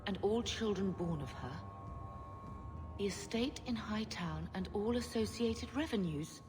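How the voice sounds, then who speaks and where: A woman's voice: mid-range voice, expressive, a little slow, big-room sound, noisy background, lightly acted. An actress playing a wounded, dignified middle-aged mother of noble birth, earnest and proper, in a video game drama. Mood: hurt, emotional.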